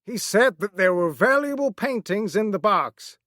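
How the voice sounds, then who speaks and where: A man voicing a stern male sea captain who shouts his orders to the passengers. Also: sits high, expressive, a little slow, dry recording, studio quality, pitched above the performer's own voice. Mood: grave recounting. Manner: shouting orders over a storm.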